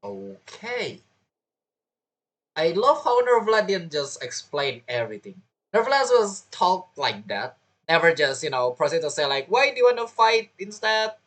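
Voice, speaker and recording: A man comments casually on a video game as he plays. His pitch moves up and down a lot, and he muses aloud in loose, rambling, informal phrases. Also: very animated, moderate pace, a bit bright. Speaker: gaming video creator.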